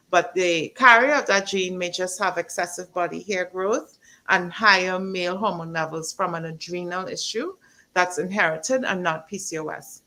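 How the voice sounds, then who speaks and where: Bright-voiced woman explaining health matters. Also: lowish voice. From Trinidad. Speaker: doctor guest. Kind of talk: health interview answers.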